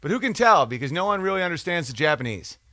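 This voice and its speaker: A man's voice: on the high side, very animated, quick pace, pushed and bright, no echo, studio quality. A man hosting a podcast, talking in a casual, digressive, profane monologue as he reads out and answers comments. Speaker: podcast or livestream host.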